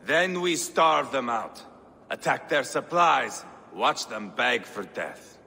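An actor playing a fierce, boastful Viking warlord who relishes violence in a video game. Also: male voice, mid-range voice, big pitch swings, slow, gravelly, bright, forceful tone, a little hiss or hum, full character voice. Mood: menacing. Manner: bold, bloodthirsty, mocking bravado. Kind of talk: video game cutscene acting.

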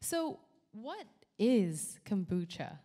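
Brief: middle-aged woman, founder pitching at an event, dark mellow tone, handheld mic. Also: sing-song, drawn-out words. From Canada. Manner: enthusiastic, with small restarts. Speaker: company founder giving a pitch. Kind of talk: prepared stage talk. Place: event venue with brick wall and audience. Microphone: handheld microphone.

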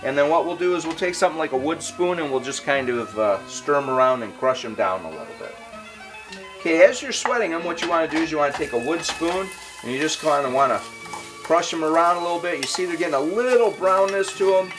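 Chatty home chef walking through a recipe. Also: male voice, fairly high pitch, lively intonation, quick pace, music in the background, poor audio. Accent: Detroit. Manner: chatty, explains steps as he works. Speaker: home chef and cooking show host. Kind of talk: casual cooking demonstration. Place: home kitchen, indoors.

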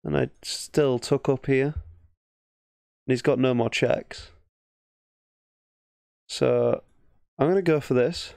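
A man's voice: mid-range voice, slow pace, vocal fry, pauses often, darkish tone, no room sound, studio quality. A man with an English accent giving casual, thinking-aloud game commentary as a chess streamer, often muttering half-finished thoughts. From England.

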